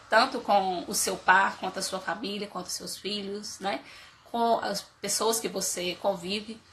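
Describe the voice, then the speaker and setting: A woman's voice: medium pitch, fairly bright tone, a little hiss or hum. A woman with a cold and a nasal voice, giving an informal motivational talk and asking her audience many rhetorical questions. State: has a cold. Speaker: speaker giving a live talk on life stages.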